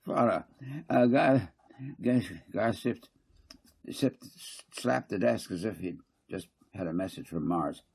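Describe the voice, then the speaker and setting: A man reading his own story as an audiobook narrator, halting and stumbling over words. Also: lowish voice, gravelly, darkish tone, husky.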